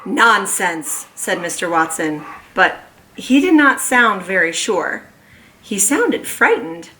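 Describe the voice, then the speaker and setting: A woman who teaches kindergarten, reading a children's book aloud at home in an animated, expressive way. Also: fairly low pitch, low-quality recording. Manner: animated, slow and expressive storytelling.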